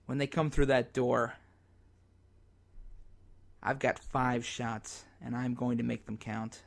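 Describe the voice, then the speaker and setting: A man playing a despairing amateur radio broadcaster in a zombie apocalypse radio drama, speaking in halting, dramatic phrases. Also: medium pitch, fairly even intonation, creaky. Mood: grim, resolute.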